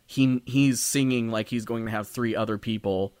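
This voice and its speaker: A man's voice: medium pitch, steady tone, moderate pace, a little mellow, smooth, no echo. A man co-hosting a podcast in casual discussion, rambling, often interrupting himself and swearing freely. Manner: rambling, self-interrupting, profane. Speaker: podcast co-host. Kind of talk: casual discussion of a stage musical.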